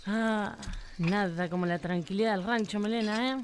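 A woman's voice: medium pitch, normal speed, very noisy, close to an everyday voice. An actress playing a sly Argentine country woman who narrates and snoops, with a folksy, knowing way of talking. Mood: cooing. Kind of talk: live radio drama acting. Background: guitar music.

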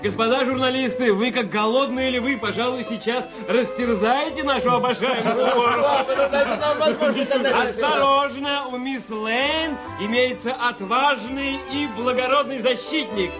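An actor playing a gushing, pompous master of ceremonies, announcing exuberantly in an audio drama. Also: male voice, very high-pitched, measured pace. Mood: mock alarm, jubilant. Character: flamboyant, gushing male party master of ceremonies. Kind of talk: theatrical announcing in audio play. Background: music.

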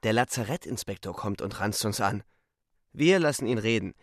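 An actor playing a wounded young soldier who narrates a radio drama in a terse, urgent, defiant way. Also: male voice, lowish voice, expressive, moderate pace, dry recording, clean studio sound. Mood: dry. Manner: terse, urgent present-tense telling.

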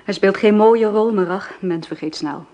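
A woman's voice: mid-range voice, moderate pace, mellow, close to an everyday voice. An actress playing a nervous young mother in a radio play, who stammers and hurries to dodge questions. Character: nervous young mother Sylvie, evasive and jumpy.

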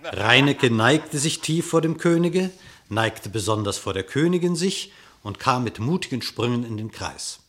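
A man narrating a classic radio play in literary verse, telling the story in a measured, formal way. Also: medium pitch, animated, a bit bright.

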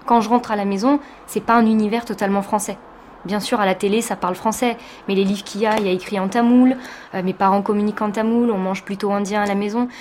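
A woman's voice: mid-range voice, steady tone, quick pace, darkish tone, a little hiss or hum. A woman from France, a teacher, giving a reflective personal testimony in an interview, speaking thoughtfully with many hesitations.

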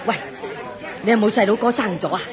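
A woman's voice: mid-range voice, a little fast, lightly acted. Brief: a woman plays an older Hong Kong Cantonese widow, brash, nosy, sighing and bossy, radio drama, busy crowd behind. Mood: nosy, pitying. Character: chatty brash older widow queuing for alms. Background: crowd of people.

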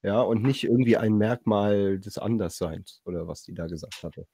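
A man in a casual podcast discussion, arguing his point calmly. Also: on the low side, normal speed, noisy background. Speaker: podcast panelist.

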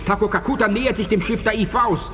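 High, excitable spaceship officer. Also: male voice, quick pace. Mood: excited, urgent. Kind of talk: 1980s German radio play acting. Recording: long hall reverb, faint music.

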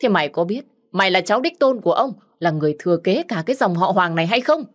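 A woman's voice: fairly low pitch, expressive, fairly quick. A woman voicing a young man who scolds his cousin with contempt. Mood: scolding.

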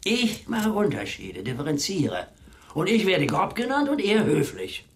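Cantankerous, higher-voiced man in radio drama. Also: wildly swooping pitch, unhurried, exaggerated voice. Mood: blustering, scornful. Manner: blunt, scornful, theatrical. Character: cantankerous, coarse man railing and sneering in monologue. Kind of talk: German radio play acting.

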